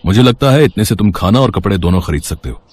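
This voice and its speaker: A man voicing a rich, self-assured businessman in a storybook tale, calm and courteous, with a matter-of-fact, authoritative manner. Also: fairly low pitch, quick pace, pitched below the performer's own voice. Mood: pleased, gracious. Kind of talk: Hindi storybook character dialogue.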